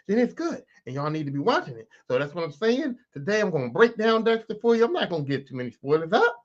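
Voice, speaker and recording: A man hosting his own podcast, raspy this morning, talking casually and with plenty of swearing straight to his listeners. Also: high voice, highs cut off. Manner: profane, animated, talks straight to listeners. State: raspy, clearing throat. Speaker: podcast host. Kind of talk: casual solo podcast rant.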